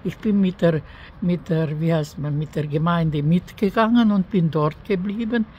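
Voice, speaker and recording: An older woman giving a spontaneous, prayerful personal testimony of faith, haltingly, searching for words as she goes. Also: on the low side, muffled recording. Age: older, over 63. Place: outdoors by an apartment entrance on a street. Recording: outdoor street noise.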